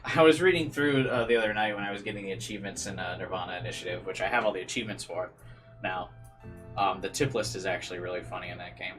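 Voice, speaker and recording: A man's voice: deep voice, fairly even intonation, fast, a bit bright, soft background music. A man doing casual livestream commentary and reading a visual novel aloud, rambling and incredulous in manner. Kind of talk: casual livestream commentary mixed with read-aloud game narration.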